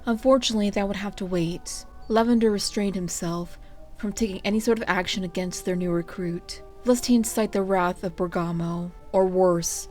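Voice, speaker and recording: A woman's voice: mid-range voice, steady tone, normal speed, darkish tone, faint music behind. A woman hosting and narrating a fan fiction reading in a casual, storytelling style. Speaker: fan fiction reader and channel host.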